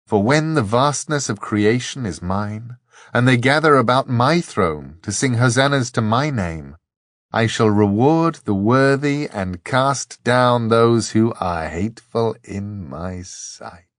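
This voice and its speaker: A man's voice: mid-range voice, animated, takes it slowly, dry recording, studio quality. A man voicing a cruel, vain fallen angel with a grandiose, theatrical manner for an audiobook. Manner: pompous, grandiose, shouting and hissing.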